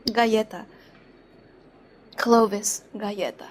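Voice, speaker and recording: A woman's voice: medium pitch, fairly even intonation, takes it slowly, slight background noise, lightly acted. An actress playing a nervous, evasive young shopgirl being questioned in a 1940s crime drama video game, hesitant and guarded.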